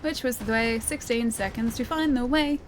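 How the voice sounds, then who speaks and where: Australian woman streamer, higher-pitched voice, casual and giggly, noisy stream audio. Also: normal speed. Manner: chatty, giggly, self-mocking. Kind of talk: casual gameplay commentary.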